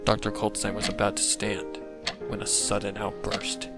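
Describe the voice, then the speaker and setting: A man reading scripted narration for a dark fan fiction story. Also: fairly low pitch, completely flat delivery, moderate pace. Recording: loud music under the narration. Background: loud music.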